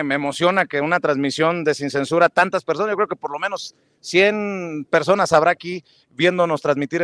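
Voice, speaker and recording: Mexican male host, forties, live street interview, rambling questions, slightly high voice, dry close sound. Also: a bit bright, dry recording. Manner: chatty, rambling questions with fillers. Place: busy city street outdoors with a crowd. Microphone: earbuds, handheld mic.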